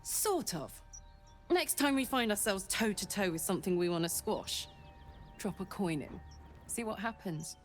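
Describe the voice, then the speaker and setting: A woman's voice: medium pitch, animated, normal speed, faint music behind, natural voice. A woman playing a fiery, brash warrior in a fantasy video game, with slangy, informal delivery. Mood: casual, eager. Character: fiery, brash female devil-hunted warrior with an engine heart. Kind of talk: dramatic fantasy game acting.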